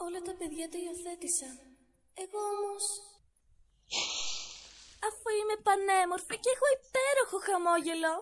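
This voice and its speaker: A woman's voice: very high-pitched, pauses often, reverberant, far from the mic. A woman voicing a cheerful, headstrong twelve-year-old orphan girl in an amateur anime fan dub, bubbly and emotive. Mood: bright, eager.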